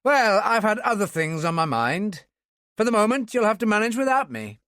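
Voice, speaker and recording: A cool, clipped gentleman schemer, brushing matters aside, as a narrator puts him on. He sits higher than the reader's usual voice, crisp and dry-recorded. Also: very animated, moderate pace, no room sound. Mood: dismissive.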